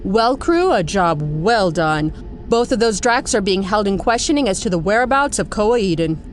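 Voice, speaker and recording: A woman's voice: medium pitch, expressive, normal speed, slight background noise, lightly acted. A woman playing an authoritative general who briefs a hero team in an audio drama. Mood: pleased. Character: brisk commanding general of a hero task force.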